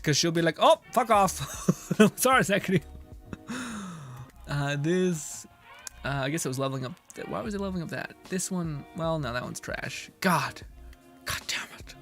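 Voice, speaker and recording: A man's voice: a little high, normal speed, long pauses, a bit bright, faint music behind. A young man streaming a game, chatting casually with his viewers and reading their messages aloud, laughing and swearing often.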